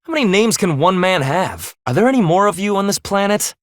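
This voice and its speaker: Blunt bossy young game character, stern n clipped, really animated pitch, dry studio sound. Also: male voice, fairly quick, no room sound. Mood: businesslike. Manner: clipped, formal, coolly blunt, commanding. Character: stern, blunt young male half-human leader of escaped prisoners. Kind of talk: English video game voice acting.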